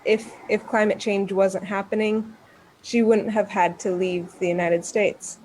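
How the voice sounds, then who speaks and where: A woman's voice: mid-range voice, flat delivery, unhurried, a little mellow, a little hiss or hum, rough recording. A woman speaking as a guest expert, giving earnest explanatory answers in an interview. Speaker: guest expert on environmental communication.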